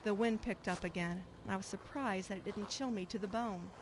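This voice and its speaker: An actress plays a troubled, wistful middle-aged woman in a radio drama. She speaks earnestly and reflectively at a medium pitch, with clear background noise and ambience around her. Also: a little fast, natural voice. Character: troubled, wistful woman returning home to her estranged mother. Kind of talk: radio drama acting with first-person narration.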